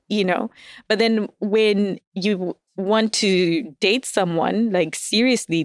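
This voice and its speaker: A woman's voice: lowish voice, dry recording, clean studio sound. A woman as a podcast guest, chatting casually, laughing often and telling stories with animation. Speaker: guest, audio fiction writer. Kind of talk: casual interview chat.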